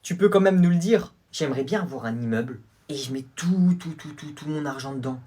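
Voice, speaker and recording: A man's voice: fairly high pitch, brisk, warm tone. A man performing comedy sketches in French, switching between playful characters. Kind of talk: comedy skit acting several roles.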